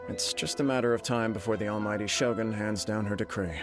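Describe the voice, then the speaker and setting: Man playing an earnest, deep-voiced young clan leader. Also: steady tone, music in the background, close to an everyday voice. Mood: grave, concerned. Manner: formal, measured, self-reproaching. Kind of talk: video game dialogue acting.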